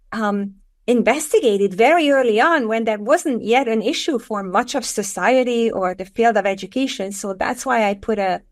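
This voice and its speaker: Hungarian accent lady on a podcast, earnest, careful, middle-aged. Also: fairly high pitch. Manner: earnest, measured explanations. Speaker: guest, education author. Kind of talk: podcast interview.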